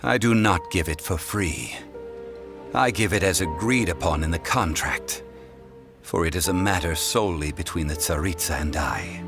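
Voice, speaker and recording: A very low-voiced ancient god from a video game, calm and dignified. The phrasing is formal, measured and solemn, with music clearly playing underneath. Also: male voice. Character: calm, dignified ancient god in human guise, thousands of years old. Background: background music.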